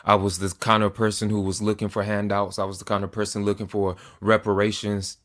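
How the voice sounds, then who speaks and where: A man in his twenties speaks straight to the camera in an earnest, measured way. His voice sits a little on the low side, and he sometimes stumbles and restarts a phrase. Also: completely flat delivery, fairly bright tone. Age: 20s. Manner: earnest, deliberate, with small restarts. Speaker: political commentator.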